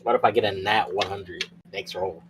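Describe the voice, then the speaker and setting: A man's voice: deep voice, steady tone, moderate pace. A man playing a tabletop roleplay, bantering casually with slangy teasing, with some background noise. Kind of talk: casual banter during a game.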